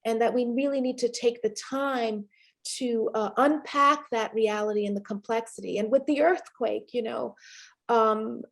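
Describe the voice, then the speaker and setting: A middle-aged woman filmmaker answering interview questions in a reflective way, pausing often with fillers and restarts. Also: a little high, steady tone, creaky, a bit bright. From Panamanian and Haitian family background. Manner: thoughtful, many fillers and restarts, occasional laughs. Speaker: film director being interviewed.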